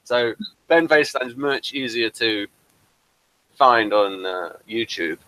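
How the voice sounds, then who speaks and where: A man's voice: mid-range voice, expressive, slow pace, sharp tone, low-quality recording. A man hosting a casual interview, rambling and chatty and addressing viewers as folks. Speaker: host and playwright.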